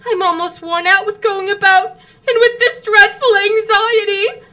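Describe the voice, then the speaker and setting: A woman's voice: very high-pitched, steady tone, unhurried, sharp tone, exaggerated voice. A woman playing an anxious, flustered society lady in a radio drama, pleading in an imploring, breathless, theatrical manner. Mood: tearful pleading.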